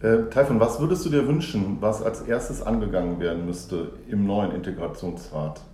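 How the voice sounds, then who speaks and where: A man who works as a teacher puts measured questions to a fellow panelist. His voice sits low and dark, and the room around him echoes. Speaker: teacher and teacher trainer.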